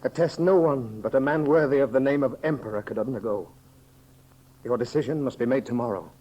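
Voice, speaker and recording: A man acting solemn, husky royal counselor. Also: mid-range voice, fairly quick, warm tone, close to an everyday voice. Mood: grave, insistent. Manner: solemn, declamatory, formal. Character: grave loyal counselor, stern yet devoted male friend. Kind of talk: 1930s radio serial acting. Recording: muffled old 1930s radio recording.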